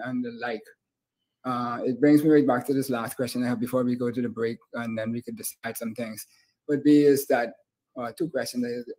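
A middle-aged Bahamian man hosts a talk show over a video call. He has a mid-pitched voice and rambles casually toward his questions in loose phrases. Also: darkish tone, poor audio. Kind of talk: casual interview hosting. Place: indoor room with bookshelves and screen, on a video call.

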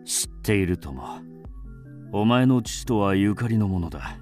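Grim ancient swordsman, radio drama: commanding, somewhat low, expressive. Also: measured pace. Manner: stern, commanding. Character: grim, resolute man: doomed swordsmith and mysterious avenger. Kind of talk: theatrical radio drama acting. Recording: music behind the voice.

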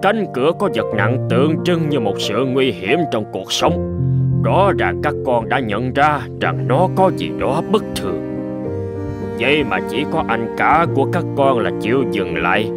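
Man acting a stern, measured old sword master. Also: mid-range voice. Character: stern wise old male kenjutsu master, fatherly storyteller. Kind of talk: scripted Vietnamese cartoon dub, telling a parable.

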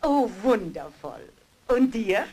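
Woman acting a slow, genteel lady friend. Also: fairly high pitch, sing-song, dark tone. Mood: sweetly polite. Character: polite, genteel old female friend at tea. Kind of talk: horror radio play acting.

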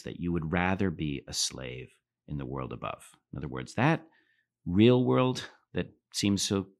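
Deep gravelly man lecturing. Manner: thoughtful, rises for quoted lines, drops low at phrase ends. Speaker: philosophy podcast host. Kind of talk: lecture-style commentary reading aloud.

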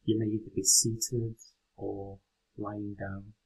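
A man leading a guided meditation in a gentle, unhurried, instructional way. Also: fairly low pitch, flat delivery, vocal fry, slightly rough, low-quality recording.

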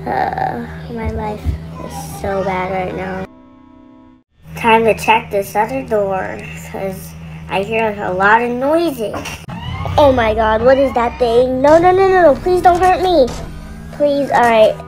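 A woman's voice: a little high, slow pace, music in the background, low-quality recording. A woman voicing a scared, lonely young boy in an amateur game roleplay, hesitant and sighing as the character mutters. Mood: frightened.

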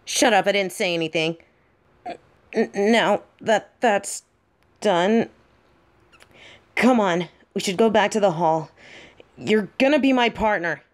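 A woman acts out a brash, possessive bully girl in an audio roleplay. She talks slowly at a middling pitch, ordering and taunting the listener. Under the bossy front she is smitten and easily flustered, so her phrasing comes out halting. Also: lightly acted.

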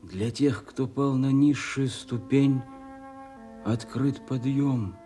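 A man plays a young outcast nobleman in a radio tragedy. He broods stoically in a mellow, mid-pitched voice, very slowly, with music behind him. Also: natural voice. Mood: brooding, stoic. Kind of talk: classical stage tragedy soliloquy for radio. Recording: vintage Soviet radio drama recording.

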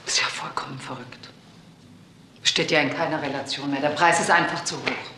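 A woman's voice: a bass voice, steady tone, normal speed, noisy background. A woman playing an embittered businesswoman and widow in a crime radio drama, speaking in a bitter, clipped way. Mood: appalled.

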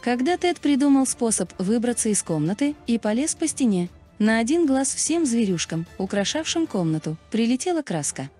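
A woman's voice: on the high side, sing-song, normal speed, a little mellow, breathy edge. A woman reading a scripted explainer narration as a narrator. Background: background music.

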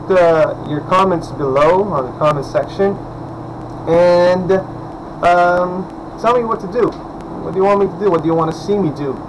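A young man from the Philippines talks straight to his webcam in a small room at home, his voice hoarse because he just woke up. He rambles in a friendly way, with lots of fillers and restarts, and his voice sits a little high for a man. A steady air-conditioner hum is clearly heard behind him. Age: young adult. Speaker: vlogger. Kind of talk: casual vlog talk to camera. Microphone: webcam at desk distance.

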